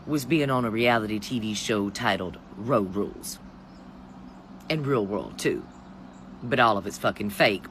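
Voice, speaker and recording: Sassy, animated American commentator with swooping pitch. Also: a little hiss or hum. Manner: sassy, profane, exasperated, addresses listener as honey and baby. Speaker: commentator giving opinions on the news. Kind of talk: informal opinionated rant to camera.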